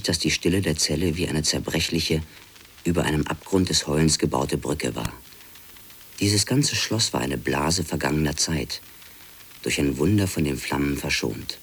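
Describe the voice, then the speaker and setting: A man's voice: extremely low pitch, husky, exaggerated voice. An actor playing an intense, bookish man who narrates dreamlike memories in a dramatic, literary style for a radio play. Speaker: first-person narrator. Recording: loud music behind the voice.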